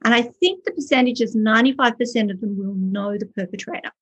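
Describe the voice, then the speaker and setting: A woman's voice: a little high, fast, breathy edge, muffled recording. A woman author and early childhood educator, answering podcast interview questions with earnest, passionate storytelling.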